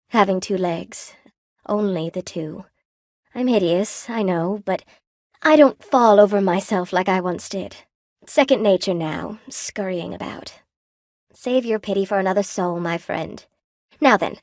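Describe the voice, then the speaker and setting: A dry, intimate recording of a woman playing a gentle spider-woman who weaves and sells silk in a video game. She sits at a middling pitch and speaks with genteel, old-fashioned courtesy. She is sweetly attentive and eager to please, with a lonely streak. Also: no room sound, lightly acted. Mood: wistful, self-conscious. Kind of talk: video game character voice acting.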